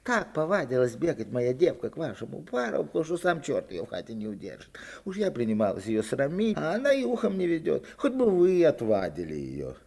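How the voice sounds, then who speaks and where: A man voices a village mother in a radio story, jokingly complaining. The delivery is folksy and mock-aggrieved, with very lively intonation. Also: on the high side, a little fast, creaky, voice pushed up, heavily acted. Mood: mock complaint. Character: village neighbour woman, a mother, jokingly scolding.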